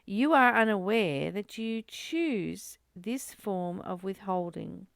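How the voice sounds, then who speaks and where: Slow, creaky woman leading a study. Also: mid-range voice, lively intonation, no room sound, studio quality. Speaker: host of a spiritual book study. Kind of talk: reading aloud and informal teaching commentary.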